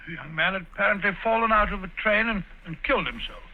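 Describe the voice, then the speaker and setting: A man's voice: high-pitched, quick pace, narrow, tinny audio. An actor playing a genial, fussy older male doctor in a 1940s radio drama, with a bumbling, chuckling manner.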